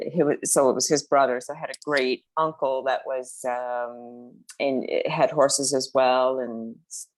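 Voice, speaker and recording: A middle-aged woman chatting casually as a guest, speaking in a hesitant, halting way. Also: very deep voice. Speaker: guest author. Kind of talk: casual chat, reminiscing.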